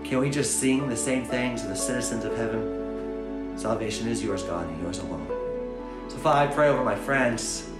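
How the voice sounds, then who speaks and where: A man in his thirties giving a short sermon straight to camera, earnest and animated, dropping to a soft hush when he prays. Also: mid-range voice, normal speed. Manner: earnest, animated, swings between loud urging and soft prayer. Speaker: pastor. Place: bright home room with windows, indoors. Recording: echoey room, faint music behind.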